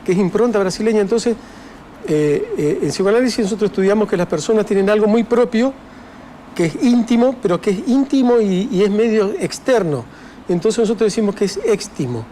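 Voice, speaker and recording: Older argentine guy talking about his book, lots of pauses and restarts, faint hiss behind. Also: high voice, mellow. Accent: Argentine Spanish. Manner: reflective, with many fillers and restarts. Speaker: author guest. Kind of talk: interview conversation.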